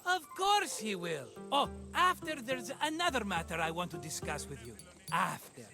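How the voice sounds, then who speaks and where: Casting: glib wine-merchant schemer for a cinematic game, effusive, very high-pitched, highly animated delivery. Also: male voice, normal speed, over music. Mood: placating. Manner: wheedling, effusive, evasive salesman patter. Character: glib, cheerful scheming vineyard-owning merchant, male friend. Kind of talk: cinematic video game dialogue acting.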